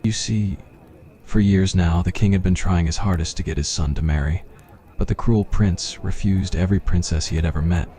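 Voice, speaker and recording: A man's voice: deep voice, normal speed, mellow. A man reading a scripted fantasy roleplay as ASMR story narration.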